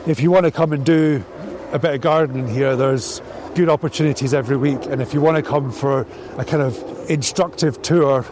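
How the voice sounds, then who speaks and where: A man's voice: on the high side, fairly even intonation, fairly quick, hardly any pauses, background noise. Scottish man, thoughtful farm grower outdoors. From Scotland. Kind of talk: casual interview walking outdoors.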